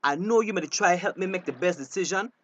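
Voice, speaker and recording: An actor plays a high-pitched Belizean Creole fisherman in a radio drama. He is honest and worried, pressing his point with urgent exasperation over faint background noise. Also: a little fast, highs cut off, natural voice. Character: anxious, honest male fisherman, wary of crime. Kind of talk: naturalistic dialect radio drama acting.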